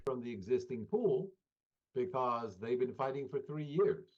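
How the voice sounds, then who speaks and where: Man on dull audio, halting analysis. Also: medium pitch, lively intonation. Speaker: interviewed professor and analyst. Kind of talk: spontaneous interview analysis.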